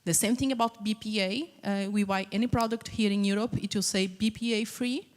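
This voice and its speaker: A woman's voice: medium pitch, fairly even intonation, brisk. A woman from Portugal with a Portuguese accent, asking the speaker a long, earnest question from the audience. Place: large conference hall. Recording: long hall reverb at a conference.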